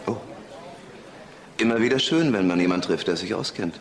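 A man's voice: low-pitched, animated, fairly quick. An actor playing a smug, needling man with a suave, mocking manner in a German crime radio drama. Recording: busy crowd murmur behind.

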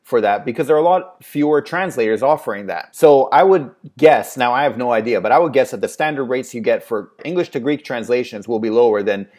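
Man, 30s, casual webcam vlogger, relaxed, thinks aloud, hedges often; earphone mic, small home room. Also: medium pitch, a little fast, hardly any pauses. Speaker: translation agency owner giving advice. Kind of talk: casual advice vlog answering a viewer question.

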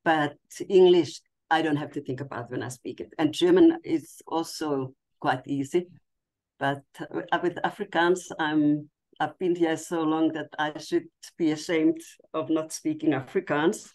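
A woman's voice: sits low, steady tone, measured pace, darkish tone. A woman in her sixties whose first language is Finnish, chatting candidly on a video call and making light of herself. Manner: candid, self-deprecating, laughs easily. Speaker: meeting participant. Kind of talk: casual video call chat. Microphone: webcam video call.

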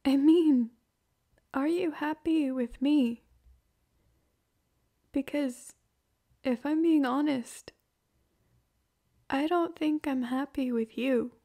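A young woman playing a hurt, heartbroken girlfriend in an emotional, halting ASMR roleplay monologue. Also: high-pitched, mellow. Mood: sad, pleading.

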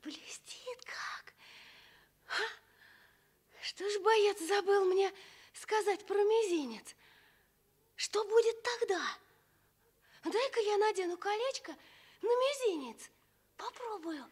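An actress playing an earnest, wistful little village girl in a radio fairy tale, plaintive and given to breathy half-whispers. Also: extremely high pitch, slow pace, long pauses. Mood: curious.

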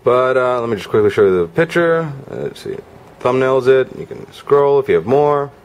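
A man's voice: mid-range voice, lively intonation, moderate pace, clean tone. A man reviewing a product in a casual, matter-of-fact demonstration style, with frank asides.

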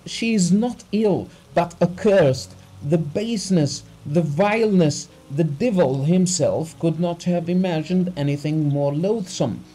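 Man acting a high-pitched, anguished betrayed gentleman. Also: unhurried, few pauses, husky, rough recording. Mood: hysterical anguish. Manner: crying out in repeated, anguished exclamations. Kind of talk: dramatized audiobook reading.

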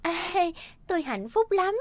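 A woman voicing a coy, smitten young girlfriend in a comedy cartoon, giggly and drawn-out. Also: very high-pitched, big pitch swings, no room sound, studio quality, full character voice. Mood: bashful delight. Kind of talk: animated comedy cartoon dub.

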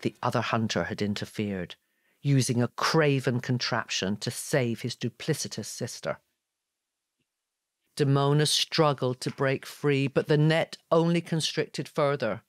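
A man's voice: mid-range voice, measured pace, no echo, studio quality. A man reading scripted fantasy narration in a dramatic audiobook style.

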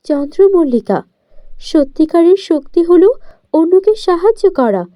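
A woman's voice: very high-pitched, big pitch swings, unhurried, warm tone, no room sound, studio quality, pitched above the performer's own voice, heavily acted. A woman voicing a kind, morally firm good fairy in a cartoon tale, earnest and admonishing.

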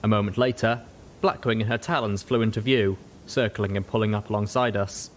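A man's voice: lowish voice, fairly even intonation, fairly quick, slight background noise. A man reading first-person fiction aloud as a scripted audiobook narration. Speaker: audiobook reader.

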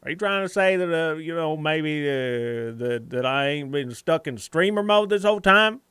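A man's voice: on the high side, expressive, normal speed, fairly bright tone, clean tone, dry recording. A man livestreaming a game in casual, rambling chat, repeating phrases and swearing freely, with a put-on folksy hillbilly drawl.

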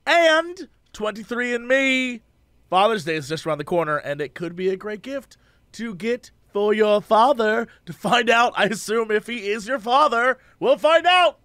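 A man co-hosting a casual podcast, hoarse from losing his voice, joking around and breaking into silly sung bits. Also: high-pitched, lively intonation, moderate pace, dry recording. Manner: goofy, sings and shouts bits, jokey asides. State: hoarse, lost voice. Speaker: podcast co-host.

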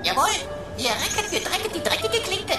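A woman's voice: very high-pitched, very animated, a little fast, over loud music. A woman playing a pompous, aggrieved, sarcastic talking computer in a radio play, complaining in florid mock-courtly phrases.

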